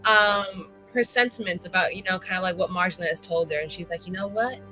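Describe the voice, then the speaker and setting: A woman's voice: fairly low pitch, fairly quick, over music, poor audio. A woman chatting casually as a player on a roleplaying show, dramatic, wry and rambling. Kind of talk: casual chat.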